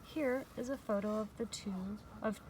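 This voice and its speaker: A woman teacher reading a picture book aloud to young children, explaining in a measured way with pauses between phrases. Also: mid-range voice. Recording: distant mic with faint background noise.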